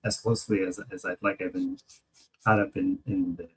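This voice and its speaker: An American man co-hosting a podcast, talking casually about politics and thinking aloud in a hesitant, halting way. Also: sits low, fairly even intonation, slight background noise, poor audio. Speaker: podcast co-host.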